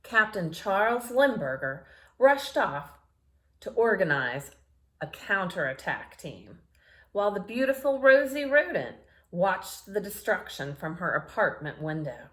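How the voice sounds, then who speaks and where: A woman storyteller reads to children with big, lively swings in pitch. Her pace is slow and unhurried. She is playful and expressive, often turning to speak straight to her young listeners. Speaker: storyteller reading picture books aloud for children. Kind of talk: animated read-aloud with asides to young listeners.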